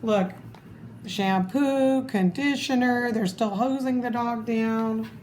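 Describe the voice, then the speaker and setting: A woman reads a children's story aloud with expression and chatty asides. Her voice is fairly high and her pace slow. The recording is roomy, with clear noise behind her. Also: steady tone, a little mellow. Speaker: storybook reader.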